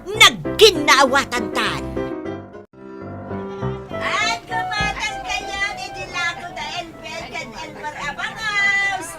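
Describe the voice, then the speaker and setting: Chatty older ilocano neighbor lady, takes her time, swoopy high voice, radio comedy drama. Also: lively intonation, over loud music, a loud crowd, full character voice. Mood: eager, agreeable. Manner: exclamatory, teasing, drawn-out interjections. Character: friendly, chatty older village woman neighbour, easily persuaded.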